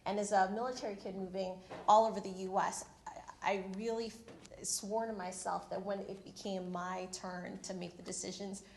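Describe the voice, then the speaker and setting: A woman's voice: medium pitch, little pitch movement, normal speed, vocal fry, a little hiss or hum. Candid woman panelist in echoey room. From Philippines. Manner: candid, storytelling with self-deprecating asides. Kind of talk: panel discussion. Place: university event room.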